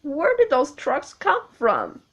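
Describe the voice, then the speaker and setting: A woman voicing a kind, helpful male steam engine who speaks gently and encouragingly. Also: sits high, wildly swooping pitch, slow, pitched above the performer's own voice, exaggerated voice. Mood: surprised.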